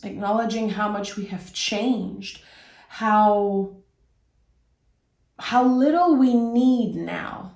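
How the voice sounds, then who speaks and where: A woman from Québec giving a casual tarot reading, her voice raspy as she gets over a cold, talking in a reflective, meandering way. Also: medium pitch, a little mellow, echoey room. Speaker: tarot reader.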